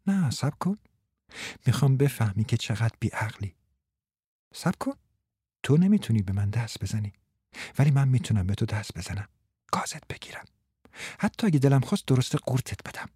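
A man's voice: medium pitch, expressive, warm tone, slightly rough, no room sound, clean studio sound. A man voicing a domineering grown woman who mocks and teases her young lover, drawing out her words. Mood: scolding. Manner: mocking, imperious, drawn-out words.

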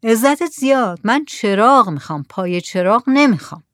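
A woman's voice: a little high, animated, no room sound, clean studio sound, lightly acted. A woman reading the lines of a demanding, dismissive male mullah in a story. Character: pushy bargaining male mullah.

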